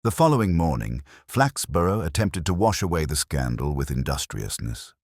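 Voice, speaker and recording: A man reading a scripted mystery story in a measured, wry, literary manner. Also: rumbling low, big pitch swings, no echo, clean studio sound. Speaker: narrator. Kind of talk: scripted mystery audiobook narration.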